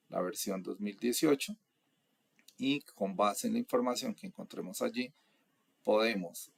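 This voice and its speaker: A young adult man from Colombia, explaining course material in a steady, methodical lecture. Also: fairly low pitch, vocal fry, husky. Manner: steady, methodical, lists items one by one. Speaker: instructor.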